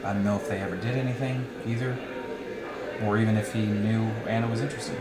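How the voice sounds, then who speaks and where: A man acting a tipsy, sick friend in a novel reading, hesitant and deadpan as he feigns sobriety. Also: on the low side, fast, over a noisy crowd, close to an everyday voice. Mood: wry, musing. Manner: hesitant, deadpan, earnestly straight-faced. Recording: echoey room.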